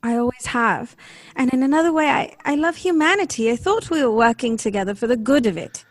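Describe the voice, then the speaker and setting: A woman's voice: fairly high pitch, brisk, dry recording, natural voice. A woman playing a tender-hearted, distressed lady in a 1930s-style radio drama, earnest and pleading.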